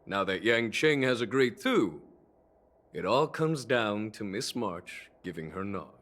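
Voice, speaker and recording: A man's voice: on the low side, very animated, measured pace, a bit bright. A man voicing a composed, kindly general and mentor in a game, with a courteous manner. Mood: pleased. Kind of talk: game cutscene voice acting.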